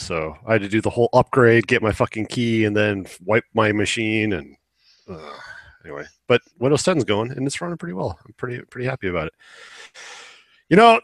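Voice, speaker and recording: Animated, sweary male podcast host. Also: mid-range voice, no room sound. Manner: sarcastic, hyperbolic. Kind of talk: casual, profane podcast chat.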